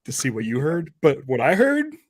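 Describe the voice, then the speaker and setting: A man's voice: a little high, huge pitch swings, moderate pace, no echo. A man hosting a podcast in casual, conversational chat. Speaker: podcast host.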